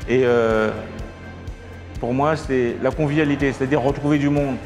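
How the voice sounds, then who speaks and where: A middle-aged man speaking calmly and thoughtfully as an interview guest in an indoor hall. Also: mid-range voice, moderate pace. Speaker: guest, contest sponsor. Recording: music bed under the voice.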